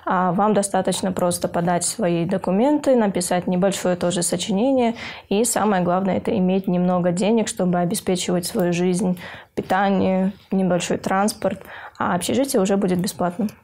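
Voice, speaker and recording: A young woman, a university student from Kyrgyzstan, speaking earnestly in an interview, pausing with hesitant filler sounds and restarting her phrases. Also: lowish voice, darkish tone. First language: Russian. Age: young adult. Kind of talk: interview for a university news feature.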